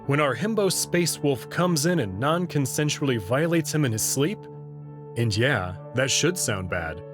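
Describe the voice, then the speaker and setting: A man's voice: mid-range voice, expressive, a little slow, over music. A man giving scripted narration and commentary as the reader of a story.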